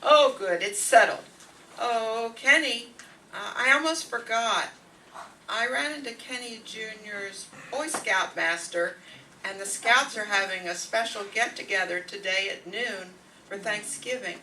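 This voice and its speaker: A woman playing a brisk, managing housewife in an old-time radio sitcom, persuasive and take-charge. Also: medium pitch, bright, forceful tone, far from the mic, slight background noise, low-quality recording. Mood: brisk, friendly.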